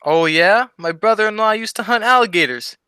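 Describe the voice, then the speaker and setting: A woman's voice: mid-range voice, brisk, sharp tone, clean tone, no room sound, studio quality, close to an everyday voice. A woman playing a dim but cheeky male sidekick in an old-time radio comedy, delivering wisecracks with childlike innocence.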